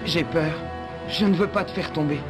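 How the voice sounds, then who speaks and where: A man's voice: on the high side, normal speed, lightly acted. Man as timid, hesitant cartoon youth. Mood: panicked, hesitant. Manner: hesitant, anxious, simple phrasing. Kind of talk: French animated series dubbing. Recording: loud music under the voice.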